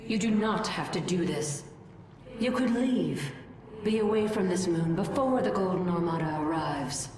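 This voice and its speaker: A woman's voice: lowish voice, animated, unhurried, darkish tone. A woman voicing a dignified alien colonist in a video game, earnest and reproachful, speaking in a formal, grave, pleading way. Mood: grave appeal. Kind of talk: game cinematic voice acting. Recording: echoey, far from mic, noisy background.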